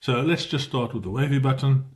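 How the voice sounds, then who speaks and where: A man's voice: medium pitch, a little fast. A middle-aged man with a South African accent, casually walking viewers through a tutorial and correcting himself as he goes. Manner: informal, explanatory, self-correcting as he talks. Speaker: tutorial presenter. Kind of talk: casual screen-share tutorial.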